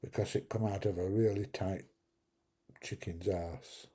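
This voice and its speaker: A middle-aged man chats away on a casual livestream, telling rambling, jokey stories. His voice is very dark and husky, with a gravelly edge. He speaks at an easy, slow pace. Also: low voice, fairly even intonation. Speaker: livestream host. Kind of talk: casual live chat reading viewer comments.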